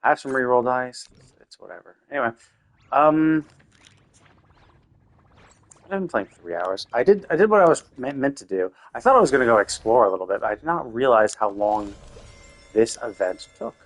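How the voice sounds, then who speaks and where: A man's voice: mid-range voice, big pitch swings, normal speed, pauses often. A man streaming a game live, thinking aloud in a loose, rambling way with lots of restarts. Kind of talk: casual live-stream commentary while playing.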